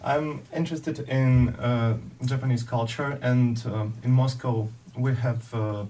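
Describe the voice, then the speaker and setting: A man's voice: medium pitch, steady tone, measured pace, dark tone, recorded from a distance, poor audio. A man from Russia whose first language is Russian, speaking English as a radio guest, hesitant and full of fillers. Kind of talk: casual interview chat.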